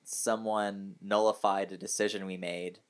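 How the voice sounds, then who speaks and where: Hungover guy w a lowish voice just telling stories on a casual podcast. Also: level tone, a little slow, clean tone. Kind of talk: casual chat, storytelling.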